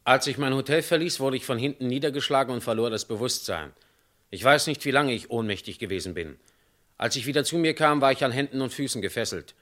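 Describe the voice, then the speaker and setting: An actor playing a kidnapped industrialist giving a plain statement in a radio play. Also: male voice, mid-range voice, fast, a bit bright, close to an everyday voice. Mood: recounting.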